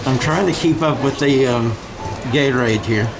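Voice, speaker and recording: A man's voice: medium pitch, normal speed, noisy background. A man giving live amateur play-by-play of a football game, calling the clock and score in short bursts from the stands outdoors. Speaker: play-by-play announcer.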